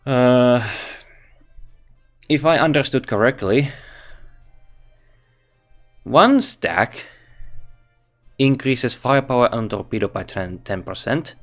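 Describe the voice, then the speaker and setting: A man with a Finnish accent speaking English as a second language, talking slowly and haltingly as he reviews a game. He often restarts his sentences and thinks aloud, with faint music playing behind him. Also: medium pitch, a bit bright. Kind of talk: casual game commentary.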